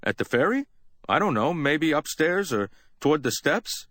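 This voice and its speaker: A man's voice: on the high side, big pitch swings, normal speed, a bit bright, voice pushed up. A man reading an audiobook, voicing a plain-spoken working cab driver in a folksy, raised voice. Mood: matter-of-fact.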